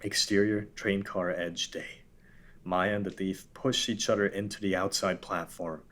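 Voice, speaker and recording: A man's voice: deep voice, fairly even intonation, unhurried, fairly bright tone. A man narrating a script, reading out scene headings and stage directions. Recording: background room noise.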